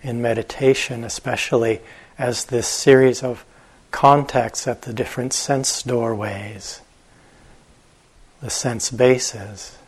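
A man teaching meditation, giving guided instructions gently and unhurriedly, with long pauses and a husky voice. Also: mid-range voice, fairly even intonation.

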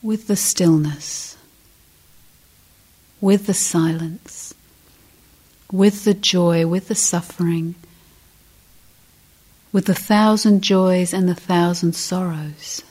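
A woman leading a guided meditation as a teacher, speaking softly and breathily in short, measured phrases with long pauses, often close to a whisper. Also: on the low side, steady tone, breathy edge.

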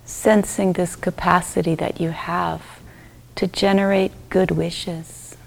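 A woman leads a guided meditation in a soft, fairly low voice with plenty of creak in it. She speaks very slowly and gently, leaving long pauses between short phrases, and her endings trail into breathiness. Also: level tone, low-quality recording. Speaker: meditation teacher leading a group. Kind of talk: guided loving-kindness meditation.

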